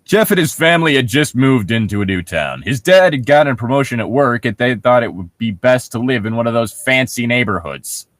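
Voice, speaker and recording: A man's voice: medium pitch, expressive, brisk, hardly any pauses, fairly bright tone, dry recording. A sarcastic young man joking and reading casually as co-host of a comedy story reading.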